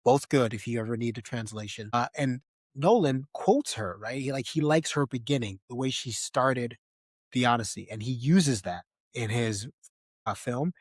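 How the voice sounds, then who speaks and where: A man's voice: medium pitch, moderate pace, no room sound, clean studio sound. A man hosting a podcast, discussing films casually and conversationally, often tagging his points with a questioning "right?". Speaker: podcast host.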